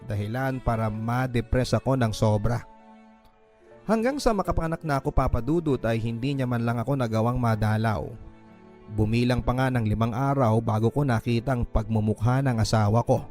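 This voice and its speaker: Male Filipino narrator for scripted radio drama letters, medium pitch, music bed underneath. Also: normal speed, darkish tone. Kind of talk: scripted first-person story narration. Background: background music.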